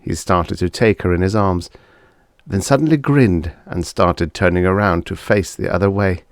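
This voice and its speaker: A man's voice: low voice, moderate pace, no echo, clean studio sound. A man narrating scripted fiction as an audiobook reader, telling the story expressively.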